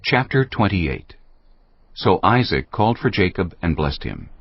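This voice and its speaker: Solemn bible narrator guy, super low and gravelly, music behind, slightly dull audio. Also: sing-song, measured pace. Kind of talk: solemn scripted scripture narration.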